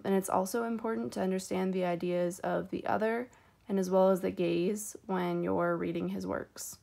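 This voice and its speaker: A woman's voice: medium pitch, darkish tone. A young woman giving a class presentation as a student, hesitant and hedging with many ums. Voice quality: gravelly.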